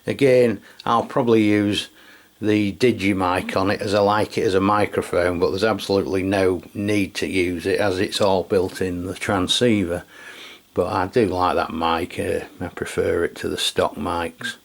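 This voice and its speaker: A man with a notably low voice explains a product in a laid-back, conversational manner. He often pauses on fillers and corrects himself mid-sentence, like a hobbyist talking to his regular viewers. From England. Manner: relaxed and chatty, with frequent fillers and self-corrections. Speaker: radio equipment reviewer. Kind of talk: casual product review walkthrough.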